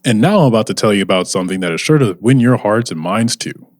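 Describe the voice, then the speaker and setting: A man's voice: lowish voice, expressive, fast talker, natural voice. A man playing a showy sixties radio narrator and announcer. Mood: dramatic. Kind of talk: old-time radio narration.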